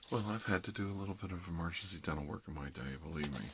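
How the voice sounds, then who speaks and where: A man playing a kindly older doctor in an ASMR role-play, speaking softly in an unhurried, hesitant, reassuring murmur. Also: sits low, heavy background noise. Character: kindly older male doctor doing emergency dental work.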